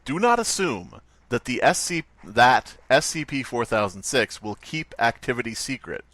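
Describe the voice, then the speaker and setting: A man's voice: mid-range voice, very animated, moderate pace, a bit bright, no echo. A man co-hosting a podcast in casual, joking conversation. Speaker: podcast co-host. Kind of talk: casual comedic chat.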